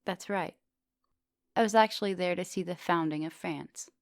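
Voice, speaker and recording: A woman playing a centuries-old vampire English professor in an intimate ASMR roleplay, soft and dominant, doting and teasing, sometimes slipping into breathy whispers. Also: fairly low pitch, normal speed, no echo, studio quality, close to an everyday voice. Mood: proud, lecturing.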